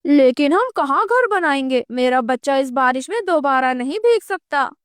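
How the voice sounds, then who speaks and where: A woman's voice: sits high, expressive, moderate pace, dry recording, studio quality. A woman voicing a protective, worried father donkey in a children's cartoon story, with an earnest manner.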